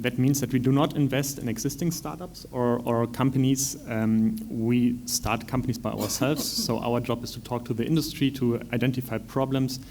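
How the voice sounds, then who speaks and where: A man introducing his venture studio on a panel in a measured, explanatory way with occasional ums. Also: medium pitch, a little mellow, a little hiss or hum.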